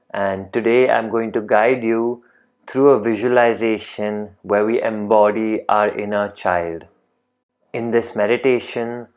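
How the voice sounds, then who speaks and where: A man leading a guided meditation in a calm, gentle, unhurried manner, leaving long pauses between phrases. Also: on the low side, dry recording, lo-fi sound.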